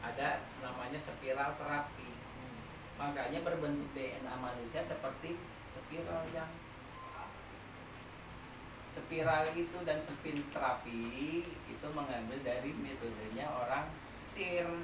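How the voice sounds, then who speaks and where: Echoey trainer teaching a massage class. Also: male voice, medium pitch, a little slow. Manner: quizzing the class, explaining step by step. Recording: echoey room, noisy, mic far off.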